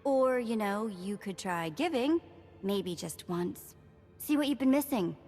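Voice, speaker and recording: An actress playing a perky, idealistic young treasure hunter in a video game, playful and earnest in manner. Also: female voice, medium pitch, animated, measured pace, darkish tone. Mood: teasing. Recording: reverberant game hall, faint music.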